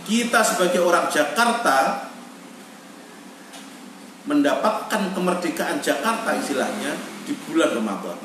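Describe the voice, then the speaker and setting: A man preaching as an Islamic teacher, speaking in an emphatic, deliberate oratorical way and repeating phrases for emphasis. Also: a little high, lively intonation, fairly bright tone. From Indonesia. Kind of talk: online sermon on history. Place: echoey room, online video meeting. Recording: echoey, noisy room, distant online-meeting audio.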